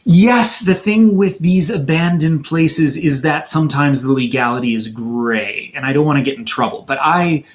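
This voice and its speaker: A man's voice: fairly high pitch, moderate pace, few pauses. Chatty American man on a livestream. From Madison, Wisconsin, USA. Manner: chatty and loose, many fillers, reads out viewer questions. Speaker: channel host answering chat questions. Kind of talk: casual livestream Q&A.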